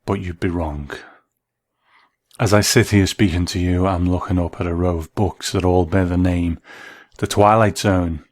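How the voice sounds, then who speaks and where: A man hosting a show, giving a measured, thoughtful scripted review in a reflective narrating style. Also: low voice, dry recording. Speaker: host and narrator.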